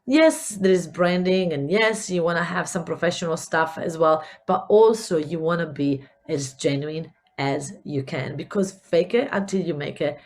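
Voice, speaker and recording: A middle-aged Italian woman with a mixed accent that carries a Spanish touch. Her voice sits on the low side, and she talks earnestly and encouragingly, doubling up words as she hunts for them. She is hosting a solo business podcast. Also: normal speed, few pauses. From Italy. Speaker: podcast host, business coach.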